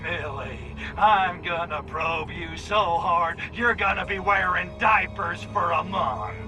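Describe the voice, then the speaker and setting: An actor playing a crude, cocky alien invader in a video game, brash and full of sarcastic wisecracks. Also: male voice, high voice, slow pace, over music. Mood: angry boasting. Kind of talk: video game cutscene acting.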